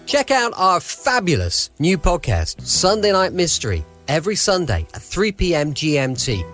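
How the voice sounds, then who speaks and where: A man's voice: on the high side, fairly bright tone. A man hosting a radio podcast, chatting and plugging the show to listeners. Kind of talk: chatty show sign-off and promo.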